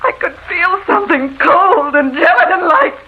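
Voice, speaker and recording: An actress playing a nervous, haunted wife, telling of her ghostly terror in a halting, confessional way, in old-time radio horror style. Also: very high voice, animated. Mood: panicked, gasping. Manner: dramatic, halting, confessional first-person narration. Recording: muffled, noisy old radio recording.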